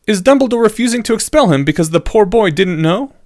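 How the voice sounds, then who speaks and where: A precocious schoolboy, acted by a male narrator in an audiobook. The voice is lifted well up in pitch, earnest and articulate, with a bold, questioning way of speaking. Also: expressive, fast talker, a little mellow, no room sound, clean studio sound. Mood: probing, exasperated.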